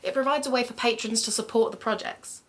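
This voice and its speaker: A woman's voice: fairly high pitch, fast talker, fairly bright tone, close to an everyday voice. A woman playing a curious, upbeat amateur sleuth and podcaster in an audio drama, speaking in a friendly, informal way. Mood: appealing, informal. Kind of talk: conversational audio drama acting.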